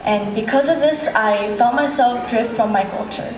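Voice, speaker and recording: A woman's voice: fairly high pitch, over music. Young woman giving a pageant speech. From United States. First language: Vietnamese. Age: young adult. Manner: earnest, measured delivery. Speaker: pageant contestant. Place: large echoey hall. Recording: echoey hall from far off, faint hiss.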